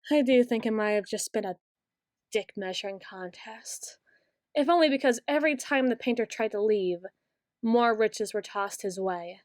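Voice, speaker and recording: A young woman voicing a brooding queen who speaks softly and musingly, close to the microphone, in an ASMR roleplay. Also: on the high side, no echo, close to an everyday voice. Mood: wistful. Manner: soft-spoken, slow and musing, turning bitter. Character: brooding young queen, bitter toward her late father.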